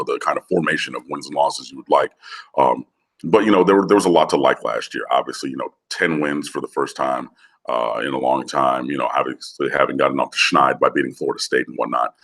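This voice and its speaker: A man with a gravelly, hoarse voice, talking football casually over the phone with lots of fillers. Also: extremely low pitch, fairly bright tone. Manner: rambling with many fillers. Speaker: guest analyst. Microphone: phone line.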